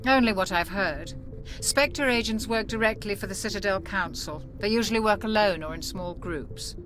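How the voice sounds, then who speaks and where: A woman acting a cautious, sensible military doctor in a video game, speaking in a measured, reproving, matter-of-fact way. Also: mid-range voice, lightly acted. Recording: loud music behind the voice. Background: music.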